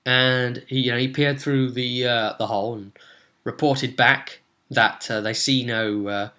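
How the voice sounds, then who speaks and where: Bright-voiced young man telling a game story. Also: medium pitch, steady tone, a little slow. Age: young adult. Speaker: vlogger recapping his tabletop roleplay campaign. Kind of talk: casual storytelling recap to camera. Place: small room at home, indoors.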